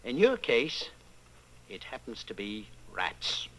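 An actor playing a cold, domineering inquisitor in a radio drama, speaking with deliberate, menacing authority. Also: male voice, mid-range voice, big pitch swings, pushed and bright, heavily acted, poor audio. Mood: calm menace. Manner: deliberate, commanding, interrogating. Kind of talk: old-time radio drama acting.

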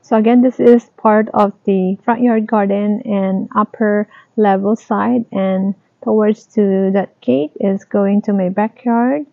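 A woman giving a casual tour of her garden, talking in a slow, halting, plain way. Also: medium pitch, steady tone, creaky, no echo. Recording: dry, tinny phone-like sound.

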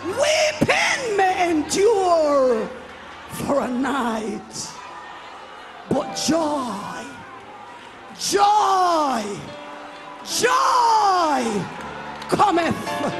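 A male preacher delivers a fervent charismatic sermon in a very high, shouted voice. He declaims slowly and repeats phrases for emphasis. Music plays prominently behind him. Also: big pitch swings. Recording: live worship service with music and crowd. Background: music and congregation.

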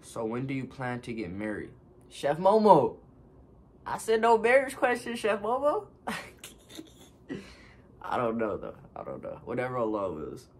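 A man's voice: mid-range voice, wildly swooping pitch, unhurried, pauses often, a little hiss or hum. A man in his twenties reading fan questions aloud and answering them offhand in a casual home vlog from his couch. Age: 20s. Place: living room, seated on a couch.

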